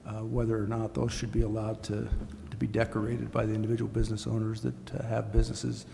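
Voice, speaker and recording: A man speaking as a city official at a public meeting, explaining in hesitant, deliberate phrases. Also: on the low side, monotone, creaky, dark tone. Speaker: city administrator. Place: council chambers. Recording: reverberant hall with faint noise.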